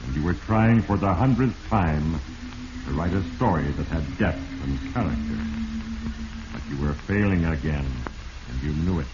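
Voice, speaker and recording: An actor playing Fate as a sinister, all-knowing narrator with an American accent, in measured 1940s radio mystery style. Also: male voice, a bass voice, expressive. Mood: ominous. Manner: ominous, measured, addressing the character as "you". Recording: muffled, noisy vintage radio recording.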